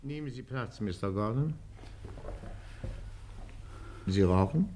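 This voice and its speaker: A man's voice: medium pitch, animated, moderate pace, dark tone, a little hiss or hum, close to an everyday voice. A man playing a courteous but firm police interrogator in a German radio crime play, formal and controlled. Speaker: actor in a radio crime drama.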